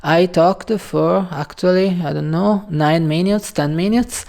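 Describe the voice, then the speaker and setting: Italian-accented woman host, slow, halting casual delivery, warm dark tone. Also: fairly low pitch, vocal fry. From Italy. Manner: hesitant, halting, many pauses and fillers. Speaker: channel host. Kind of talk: casual video talk on a book.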